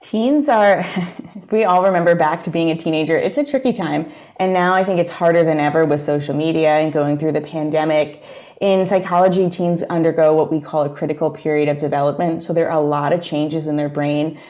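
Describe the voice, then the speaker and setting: A woman with a New York accent, a guest psychologist explaining her field in a relaxed interview. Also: deep voice, brisk. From New York area, USA. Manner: explanatory, steady, plain-spoken. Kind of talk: casual interview conversation.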